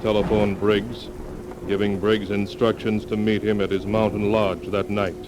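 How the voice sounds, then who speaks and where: A man narrating an old-time radio crime drama in a measured, formal storytelling manner. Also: fairly low pitch, noisy background, natural voice. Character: sober male narrator of a crime tale.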